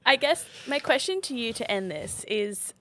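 A woman's voice: a little high, animated, moderate pace, a bit bright, slight background noise. A woman hosting a podcast, chatting casually and encouraging her guest with frequent murmured agreement. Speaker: podcast host.

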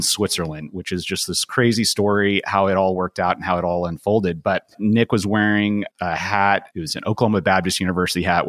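This is a man with a very deep voice, recorded close and dry with hardly any room sound. He talks like a podcast guest telling stories about himself, informal and modest. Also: no echo. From Portugal. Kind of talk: conversational personal storytelling.

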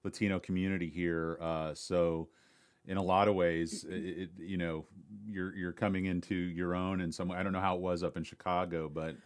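A man's voice: sits low, little pitch movement, normal speed, smooth. A man interviewing a guest in a casual, curious way, with a teasing sense of humor.

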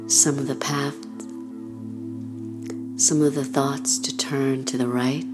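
Slow, low, hushed woman guiding meditation. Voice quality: soft, breathy, often near a whisper. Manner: soothing, gentle, unhurried, with long pauses. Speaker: meditation guide. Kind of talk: guided meditation, body scan narration.

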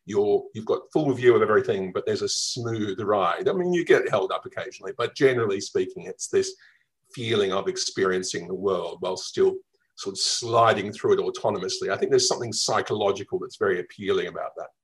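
A middle-aged man with a mild Melbourne accent speaks in a fairly low voice. He tells his stories in a reflective, lively way, circling back with ums and small restarts. It sounds like an easygoing radio interview guest. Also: a little fast, poor audio. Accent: Melbourne, Australian. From Australia. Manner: reflective, lively storytelling with fillers. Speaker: travel writer guest.

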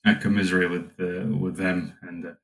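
A young man hosting a relaxed conversation, talking in a thoughtful way with plenty of fillers. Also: sits low, moderate pace. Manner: reflective, with frequent fillers. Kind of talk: casual conversation.

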